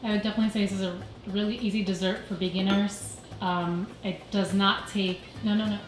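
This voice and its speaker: A woman calmly explaining a dessert recipe step by step in a casual home cooking video. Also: medium pitch, fairly quick, background noise. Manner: calm step-by-step explaining with fillers. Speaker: home cook guest. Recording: echoey room, faint music.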